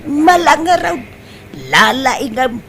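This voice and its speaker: A woman's voice: high-pitched, expressive, takes it slowly, fairly bright tone, full character voice. Emphatic, swooping mother played by a woman. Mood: pleased, doting. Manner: emphatic, exclamatory, questioning. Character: doting, emphatic mother of a grown son. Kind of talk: Ilocano radio-style comedy drama acting.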